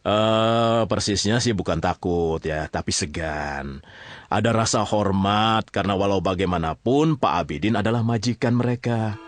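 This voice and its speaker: A man's voice: on the low side, normal speed, a little hiss or hum. A man with an Indonesian accent telling a story informally, often checking in with his listeners. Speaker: storyteller narrator addressing listeners.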